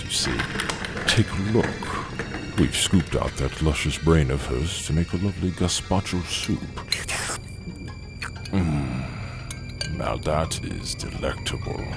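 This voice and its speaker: An actor playing a suave, sinister cannibal gentleman in a horror audio drama, gravelly, theatrical and mockingly polite, savouring each cruel word. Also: low-pitched, lively intonation, takes it slowly, loud music behind the voice, heavily acted. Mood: gleeful menace. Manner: theatrical, mock-polite, savouring his words.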